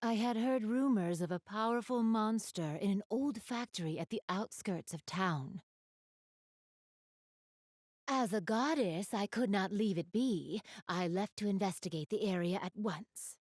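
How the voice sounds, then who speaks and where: A woman's voice: medium pitch, measured pace, a little mellow, dry recording, clean studio sound, close to an everyday voice. A woman playing a gracious, refined young goddess in a video game, speaking to the player in polite, elegant, formal phrasing. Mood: earnest recounting.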